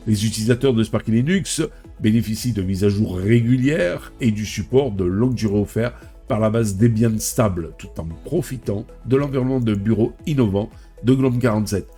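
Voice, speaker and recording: A man's voice: on the low side, moderate pace, dark tone, soft background music. A man with a head cold hosting a tech video, reading a news script and adding chatty personal asides. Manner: reads then adds chatty personal remarks. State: stuffy with a cold.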